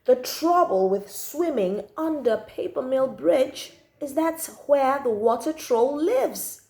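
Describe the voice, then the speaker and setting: A woman's voice: sits high, expressive, takes it slowly, vocal fry, darkish tone. A woman reading a children's story aloud expressively, drawing out key words for emphasis.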